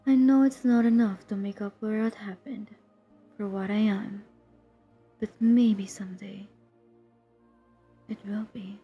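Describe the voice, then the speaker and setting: Breathy, husky vampire huntress acted by a woman. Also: medium pitch, steady tone, highs cut off, soft background music. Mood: weary, guilty resolve. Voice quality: breathy, husky, often dropping into whisper. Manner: hushed, confessional, halting, earnest. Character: centuries-old female vampire hunter, guilt-ridden, haunted, determined. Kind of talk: intimate dramatic audio roleplay addressed to the listener.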